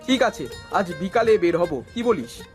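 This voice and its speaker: A man playing an earnest young man who narrates and speaks in an animated horror story, with music behind him. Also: high voice, moderate pace. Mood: casual, animated. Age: young adult.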